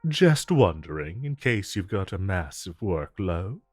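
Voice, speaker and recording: A man playing a suave, flirtatious supervillain in a romantic audio roleplay, with a smooth, teasing drawl and deliberate pauses. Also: deep voice, big pitch swings, darkish tone, no room sound, studio quality, full character voice. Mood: flirtatious, relaxed. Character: suave, flirtatious male supervillain courting his tailor.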